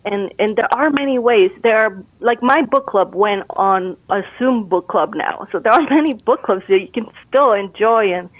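A woman's voice: mid-range voice, normal speed, hardly any pauses, no echo. A woman from Costa Rica, a brain health expert, giving upbeat, encouraging advice in a casual interview over a call. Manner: upbeat, encouraging, laughs easily. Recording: tinny phone line.

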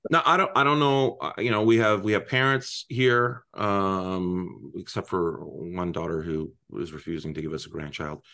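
A man in his fifties from Iran hosting a casual panel discussion, animated, laughing often and breaking into asides. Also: deep voice, normal speed, hardly any pauses, no room sound. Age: 50s. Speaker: host.

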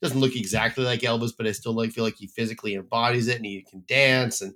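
A man's voice: medium pitch, steady tone, quick pace, pushed and bright. A man co-hosting a casual film review chat, giving blunt and emphatic opinions. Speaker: film critic co-host.

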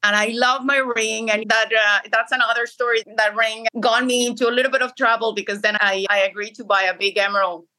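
A woman's voice: a little high, little pitch movement, brisk, few pauses, bright, forceful tone, poor audio. A woman with a Colombian accent, a Spanish speaker by birth, answering interview questions earnestly and often restarting her phrases. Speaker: interview guest. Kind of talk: conversational interview.

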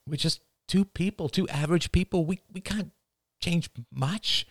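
A man's voice: on the high side, unhurried, no room sound, voice pushed up. A man voicing a tired, skeptical young mother, dry and exasperated in an audiobook reading. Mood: weary. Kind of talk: audiobook dialogue.

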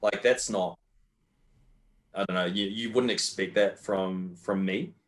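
A low-voiced young Australian man chatting casually on a podcast. He talks candidly, wanders through his thoughts with restarts, and drops the odd swear word. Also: fairly even intonation, unhurried. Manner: candid, rambling, swears freely. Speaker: guest powerlifter.

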